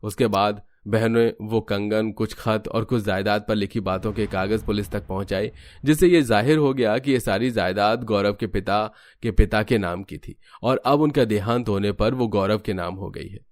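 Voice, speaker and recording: A man's voice: fairly low pitch, normal speed. A man giving scripted storytelling narration for a cartoon.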